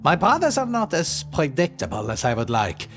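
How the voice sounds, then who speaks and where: A man's voice: fairly high pitch, wildly swooping pitch, normal speed, fairly bright tone, faint music behind, full character voice. An actor playing a weary, theatrical immortal man who talks in florid, sardonic turns in an audio roleplay. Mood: wry, weary. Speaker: lead character in an audio drama. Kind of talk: ASMR audio roleplay acting.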